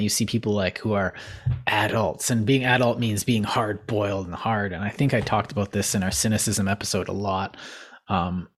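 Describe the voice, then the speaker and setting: A man co-hosting a casual podcast chat, talking in long, digressive runs and laughing at himself as he loses his thread. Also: fairly low pitch. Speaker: podcast co-host.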